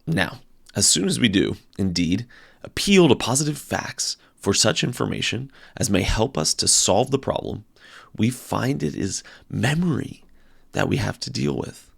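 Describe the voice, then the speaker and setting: A man giving a somewhat dramatic reading of a philosophical text, with theatrical, deliberate phrasing and emphatic swells and drops. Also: on the low side, creaky. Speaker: audiobook reader.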